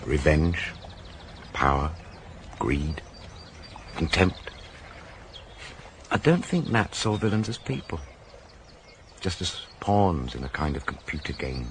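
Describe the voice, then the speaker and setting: An actor playing an astute, world-weary police detective in a radio crime drama, with a husky voice and a deliberate way of explaining. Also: male voice, rumbling low, fairly bright tone, a little hiss or hum, heavily acted. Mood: weary, reflective.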